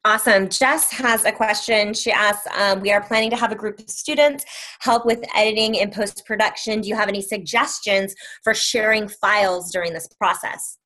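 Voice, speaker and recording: A woman's voice: medium pitch, steady tone, normal speed, pushed and bright, low-quality recording. An upbeat woman chatting casually as she moderates a session on a video call. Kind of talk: casual chat.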